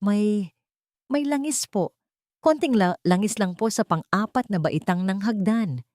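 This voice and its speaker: A woman's voice: medium pitch, lively intonation, a little slow, darkish tone, no room sound, clean studio sound, pitched above the performer's own voice, close to an everyday voice. A woman voicing a nervous, deferential elderly male maintenance worker who stammers and pleads in an audio drama. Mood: tearful pleading.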